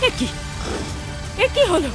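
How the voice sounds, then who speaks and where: A woman's voice: slow, close to an everyday voice. An actress playing a cruel, commanding woman villain in a dramatic cartoon. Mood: shocked. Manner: menacing, theatrical, commanding. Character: cruel, threatening female villain blackmailing a police inspector. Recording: music under the voice.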